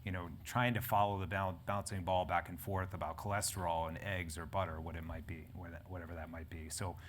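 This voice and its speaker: A man's voice: sits low, little pitch movement, a little fast, slight background noise. A man moderating a live panel discussion, talking in a rambling way with many restarts and fillers. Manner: rambling, self-correcting, frequent fillers. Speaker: panel moderator and editor of a health publication. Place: conference event in front of an audience.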